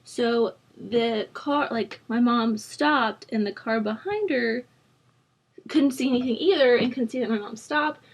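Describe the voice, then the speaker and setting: Hoarse young woman chatting casually. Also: a little high, measured pace. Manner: rambling, chatty, many ums and likes, laughs at herself. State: hoarse from a sore throat. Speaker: Sims building channel host. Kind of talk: casual voice-over chat during a speed build.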